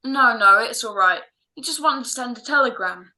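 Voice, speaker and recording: A woman's voice: fairly high pitch, lively intonation, normal speed, pushed and bright, rough recording. A woman playing an earnest, restless, dutiful son in a radio drama. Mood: helpful, hushed. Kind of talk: classic radio drama acting.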